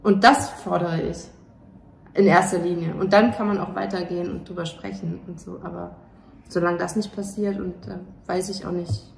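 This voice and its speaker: A woman's voice: fairly low pitch, fairly even intonation, moderate pace, vocal fry. A woman in her thirties speaking emphatically and earnestly in a casual interview. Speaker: playwright and actor, interview guest. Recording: echoey room, faint ambient noise.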